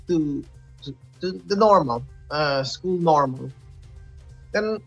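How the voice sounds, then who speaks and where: A man's voice: high voice, fairly bright tone. A man in a casual radio discussion, explaining his points and often repeating words. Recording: dull lo-fi sound, music behind.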